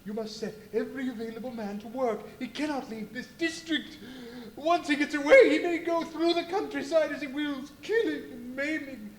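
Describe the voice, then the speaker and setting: An actor in a live radio play playing an anxious, alarmed doctor, with an agitated, stammering, pleading manner, in an echoey venue. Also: male voice, very high voice, fairly even intonation, normal speed, hardly any pauses. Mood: alarmed, urgent. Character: anxious, alarmed male doctor, horrified by a madman. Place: live performance in a brewery venue.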